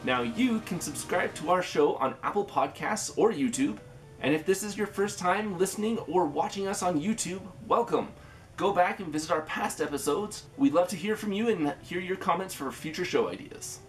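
A man with a Canadian accent, speaking as the host of a podcast. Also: high voice. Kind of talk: scripted intro and podcast interview.

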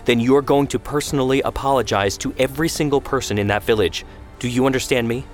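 A man narrator takes the part of a worried mother in a family story. She is steely and controlled, speaking in firm, measured challenges with a bite underneath. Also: medium pitch, faint music behind, voice pushed up, close to an everyday voice. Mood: challenging, heated.

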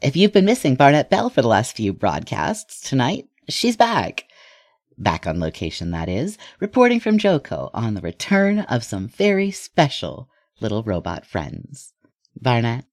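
An actor playing a composed, formal evening news anchor in an audio drama, reading scripted stories in a measured way. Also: medium pitch, wildly swooping pitch, no echo, studio quality, heavily acted. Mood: lighter, welcoming.

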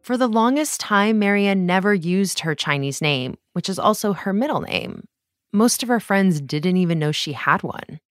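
A woman hosting a news podcast, delivering scripted narration in a measured, clear way. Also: medium pitch, lively intonation, no room sound, faint music behind. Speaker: podcast host.

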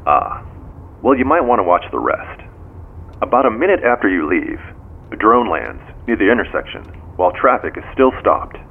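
Low-voiced American detective on the phone. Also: male voice, sing-song, gravelly, husky, exaggerated voice. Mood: matter-of-fact. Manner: measured, formal, probing. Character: probing, composed male police detective. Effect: phone call. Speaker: police detective. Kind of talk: modern audio drama dialogue. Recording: tinny phone line with background noise.

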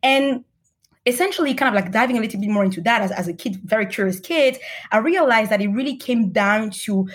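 A woman's voice: a little high, lively intonation, fairly quick. A woman from Cameroon and Madagascar speaking as an interview guest, explaining her path in an earnest, conversational way. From Cameroon and Madagascar, partly raised in Paris. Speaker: podcast guest, startup investor. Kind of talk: conversational interview answers, personal narrative.

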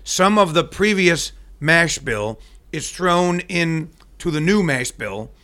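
A man's voice: on the high side, animated, slow pace, bright, forceful tone. A man chatting casually as a podcast guest, explaining things in a matter-of-fact way. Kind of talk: casual chat, explaining bourbon.

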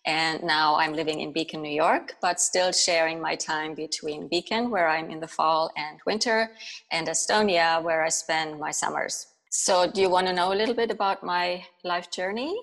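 A woman's voice: low voice, moderate pace, gravelly, fairly bright tone, low-quality recording. A woman from Germany, a podcast guest, telling personal stories in a casual conversational style.

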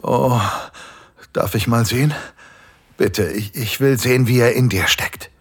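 Casting: male actor as submissive, aroused husband in erotic audio drama; very husky, breathy, slow and hushed delivery. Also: medium pitch, no room sound. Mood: aroused, pleading. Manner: slow, hushed, halting with moans. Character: submissive married man, construction foreman, eager, aroused, pleading. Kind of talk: intimate first-person erotic audio drama narration.

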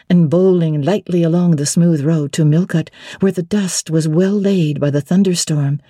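A woman giving an expressive, theatrical first-person narration of classic literature. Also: fairly low pitch, dry recording, clean studio sound. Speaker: audiobook narrator.

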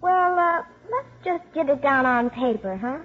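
A woman's voice: sits high, lively intonation, measured pace. A woman playing a tough, slangy eleven-year-old girl devoted to her father in a 1950s radio drama. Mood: cheeky. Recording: muffled old radio recording, faint music.

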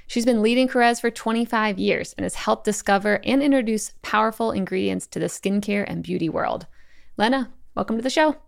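A woman's voice: on the high side, gravelly, dry recording. A woman hosting a business interview podcast, reading her intro and ad in an upbeat, polished way on a close studio mic. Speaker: podcast host.

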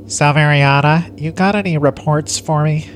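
A man's voice: a little high, steady tone, normal speed, soft background music, close to an everyday voice. A man playing a lazy older alien starship commander, pompous yet casually indifferent, in a comic sci-fi audio play. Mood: breezy, evasive. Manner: pompous log-keeping sliding into casual indifference.

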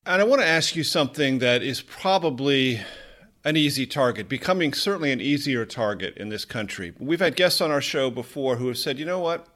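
Brisk, polished male show host. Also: mid-range voice, animated, fairly bright tone. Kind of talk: radio-style interview hosting.

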